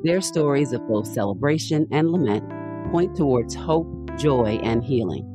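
A man's voice: mid-range voice, lively intonation, normal speed. A man reading a scripted podcast promo as an announcer. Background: guitar music.

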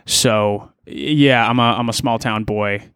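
Smooth-voiced young man, rambling. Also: medium pitch, unhurried, a bit bright, no echo, studio quality. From Ontario, Canada. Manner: rambling, hesitant, lots of restarts. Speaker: podcast guest, musician. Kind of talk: casual podcast interview.

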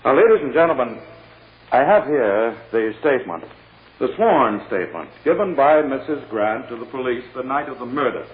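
Tinny old radio, guy playing a sarcastic prosecutor working the jury, dramatic pauses, swooping delivery. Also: medium pitch, expressive, close to an everyday voice. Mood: oratorical. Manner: oratorical, emphatic, insinuating, dramatic pauses. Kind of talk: 1940s radio drama acting. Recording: tinny, echoey, noisy old radio recording.

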